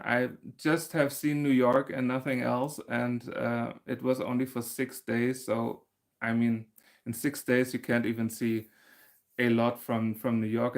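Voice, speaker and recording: A man's voice: mid-range voice, creaky. A man, hosting a casual livestream and chatting through viewer comments, laughing often and restarting his sentences. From Germany. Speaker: crochet channel host.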